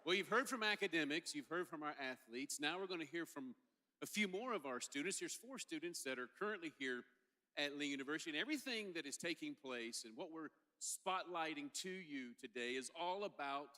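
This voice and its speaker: A man's voice: a little high, lively intonation, fairly quick, sharp tone. A middle-aged man emceeing a student panel on stage with a handheld mic, upbeat and joking. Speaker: host. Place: large stage hall, indoors. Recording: long hall reverb.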